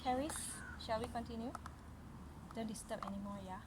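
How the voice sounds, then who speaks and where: A woman's voice: on the high side, fairly even intonation, brisk, heavy background noise. A mother reading a children's story aloud, breaking off for casual explanations and questions to her child.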